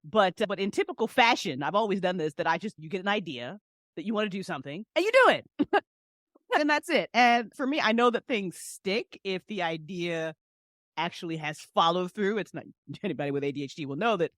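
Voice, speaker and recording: A woman's voice: on the low side, expressive, a little fast, fairly bright tone, no room sound, clean studio sound. A woman in her forties chatting casually as a podcast guest, talking in an informal, slangy, emphatic way. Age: 40s. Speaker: podcast guest, audio drama creator. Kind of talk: casual chat.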